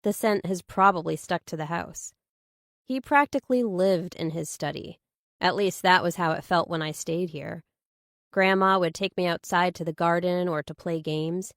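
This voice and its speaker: A woman's voice: on the low side, gravelly, dry recording, clean studio sound. A woman reading a scripted first-person thriller audiobook as its narrator.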